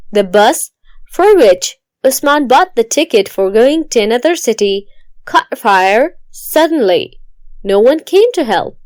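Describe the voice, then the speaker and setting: High, lively woman storyteller. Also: dry recording. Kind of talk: scripted narration of a moral story.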